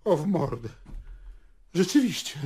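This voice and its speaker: An actress in a radio play playing an alarmed, blunt theatre colleague with an agitated delivery. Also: female voice, lowish voice, sing-song, a little slow. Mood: incredulous, alarmed. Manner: agitated, emphatic. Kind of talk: radio drama acting.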